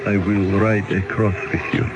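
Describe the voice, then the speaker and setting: An actor playing a grief-stricken, hopeless father in a radio drama, speaking haltingly. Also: fairly low pitch, flat delivery, background noise, lightly acted. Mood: grieving. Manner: halting, stammering. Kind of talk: 1970s radio drama acting.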